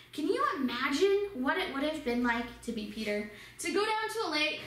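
A young woman telling a Bible story to children in an animated storytelling voice, speaking straight to her young listeners. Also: high-pitched. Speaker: children's church teacher. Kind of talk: Bible lesson for kids. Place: small studio with red backdrop. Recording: echoey room, voice from some distance.

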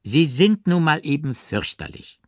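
A man's voice: a little high, very animated, measured pace, dry recording, clean studio sound, voice pushed up. A man voicing a cool, ironic, haughty aristocratic princess with affected, mannered emphasis in an audiobook. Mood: haughty, dismissive. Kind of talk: audiobook character reading.

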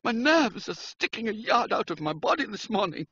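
A nervous young gentleman babbles in a high, jittery voice that climbs and swoops, as a storyteller acts him out. He talks in frantic rushes, all nerves, and the sound is dull and lo-fi, like an old audiobook. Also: very animated, husky, full character voice. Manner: frantic, rambling and breathless.

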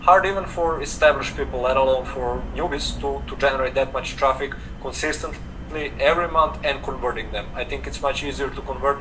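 Serbian-accented young man, relaxed interview guest on a video call, mic set back, steady room hum. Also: mid-range voice, a little fast. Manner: relaxed, frequent "like" fillers, gestures while talking. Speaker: guest, affiliate marketer. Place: home room indoors. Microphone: video call webcam. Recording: distant webcam mic with air conditioner hum.

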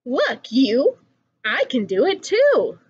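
Young female storybook reader, singsong and expressive, slow pacing, big pitch swings, slightly lo-fi recording. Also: husky. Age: young adult. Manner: animated, singsong rhyming delivery. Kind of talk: expressive picture book read-aloud.

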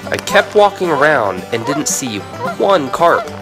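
A young man casually narrating his fishing trip, speaking quietly as he stalks fish by a lake. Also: big pitch swings, unhurried, over music, rough recording. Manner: hushed and low while stalking fish. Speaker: fishing vlogger. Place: outdoors by lake flats, partly in a car. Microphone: action camera on head or in hand.

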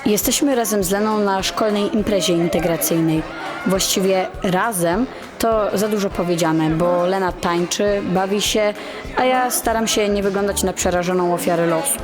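A young woman acts a shy, insecure high-school girl narrating an audio drama. She speaks in a confiding, introspective way at a medium pitch. Music plays clearly under her voice. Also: moderate pace, natural voice. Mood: nervous, introspective. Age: teenager.

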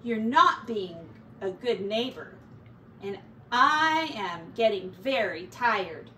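A woman's voice: mid-range voice, animated, slow pace, sharp tone. A middle-aged woman voicing a fussy, exasperated male mouse in a storybook, stern and indignant. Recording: roomy, distant camera mic, faint noise.

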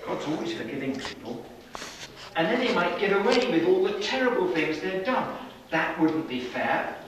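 Animated storytelling man in an echoey room. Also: high-pitched. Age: middle-aged. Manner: slow, animated, with pauses and questions to the children. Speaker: storyteller for a children's group. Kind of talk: interactive Bible storytelling to young children. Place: children's classroom with seated young audience. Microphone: distant camera at back of room. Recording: echoey, noisy room recorded from far off.

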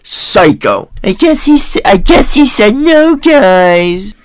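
A man's voice: high voice, big pitch swings, takes it slowly, clean tone, no echo. A man with a stuffed-up nose giving mocking reaction commentary, laughing often. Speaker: commentary host. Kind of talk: reaction commentary over a stream clip. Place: voice-over, not the pictured room.

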